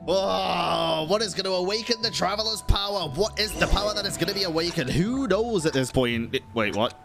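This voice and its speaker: A man doing casual live gaming commentary as a streamer, expressive, slangy and sweary. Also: high-pitched, brisk, vocal fry. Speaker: game streamer. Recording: game music behind the voice.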